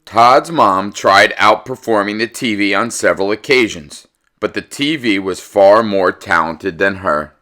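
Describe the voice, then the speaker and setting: A man narrating a children's picture book as a read-aloud host, theatrical and deliberate in his storytelling. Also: fairly low pitch, bright, forceful tone.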